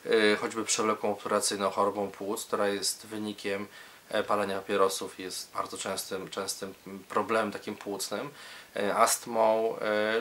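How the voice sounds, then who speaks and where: A man with a lowish, very bright and forceful voice explains things as a medical specialist. His delivery is halting, with repeated words and hesitations as he builds each sentence in an interview setting. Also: slight background noise.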